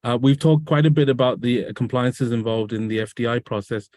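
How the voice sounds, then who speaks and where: A man's voice: medium pitch, a little fast, darkish tone, no echo. A man hosting a business webinar over a video call, asking guests questions with curious follow-ups. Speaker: webinar host. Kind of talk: conversational webinar interview.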